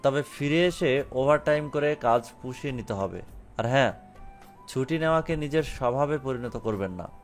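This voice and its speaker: A man's voice: mid-range voice, expressive, moderate pace, soft background music, lightly acted. A man playing a firm but considerate company director, formal and dropping in English phrases. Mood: firm, businesslike. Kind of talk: audio story drama acting.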